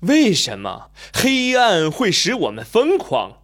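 Play as a man voicing a curious young reporter in an audio drama: pitch your voice high and let it swing widely, questioning and doubtful. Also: wildly swooping pitch, takes it slowly, a bit bright, full character voice. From Shandong, China. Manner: probing, skeptical questions. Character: curious young male reporter, skeptical and probing. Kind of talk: amateur radio drama.

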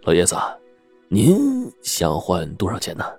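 A man voicing a sly, smooth-talking shopkeeper who speaks softly and ingratiatingly. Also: sits low, wildly swooping pitch, unhurried, faint music behind, heavily acted. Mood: sly coaxing.

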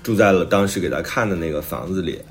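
A man's voice: low-pitched, animated, quick pace, over music. A man who is an author and podcast co-host, talking reflectively and explaining his thoughts in conversation.

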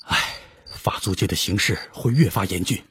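An actor playing a watchful, authoritative leader of a secret revolutionary meeting in a Chinese radio drama, measured and sober. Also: male voice, deep voice, fairly bright tone, slight background noise.

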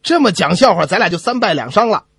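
A man co-hosting a comedy radio show, delivering scripted banter with animated mock exasperation. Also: high-pitched, fast talker, breathy edge, dry recording. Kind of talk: scripted comic banter between radio hosts.

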